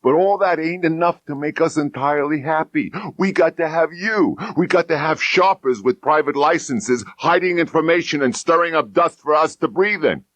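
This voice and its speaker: A hard-boiled police detective acted by a male audiobook reader, bitter and bone-tired. He speaks in slangy, aggrieved bursts, pushed well above the reader's natural pitch, in a dry studio sound. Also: normal speed, hardly any pauses, fairly bright tone, dry recording, natural voice. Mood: bitter, worn-out rant. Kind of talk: dramatised audiobook crime dialogue.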